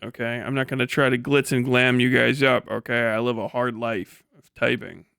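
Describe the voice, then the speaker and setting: A man's voice: mid-range voice, level tone, normal speed, vocal fry, fairly bright tone, clear, resonant tone, no room sound, clean studio sound. A man in his late thirties hosting a casual livestream, rambling and swearing freely with a self-deprecating manner. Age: late 30s. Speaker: livestream host.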